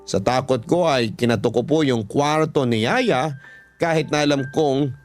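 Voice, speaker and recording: A man's voice: mid-range voice, a little slow. A man with a Filipino accent narrating a first-person story as a radio drama host. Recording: music bed behind the voice.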